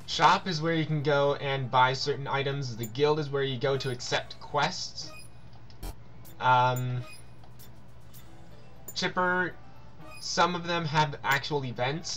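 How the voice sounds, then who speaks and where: A man's voice: medium pitch, takes it slowly, pauses often, fairly bright tone, faint music behind. A man doing casual game commentary, reading on-screen text aloud and stumbling over words now and then.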